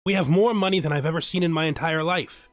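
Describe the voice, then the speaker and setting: A man voicing a bitter older bandit who blames a cowardly partner, read out plainly and low-key. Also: fast talker, dry recording, studio quality, soft background music, lightly acted. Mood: resentful.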